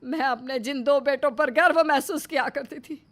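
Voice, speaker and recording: A woman's voice: sits high, moderate pace, pitched above the performer's own voice, natural voice. A woman voicing a stern, wounded older widowed mother in an acted storybook, firm and admonishing. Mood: ashamed, hurt.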